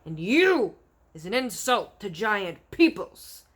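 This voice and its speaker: A woman's voice: medium pitch, very animated, takes it slowly, slightly rough, full character voice. A woman voicing a brutish man-eating male giant in a storybook, roaring and gloating in mangled grammar. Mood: furious, insulting.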